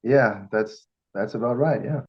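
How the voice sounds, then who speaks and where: A man's voice: mid-range voice, unhurried, slightly rough, lo-fi sound. A middle-aged man from Germany with a German accent, giving an informal personal talk as a guest speaker. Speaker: guest speaker, firearms instructor.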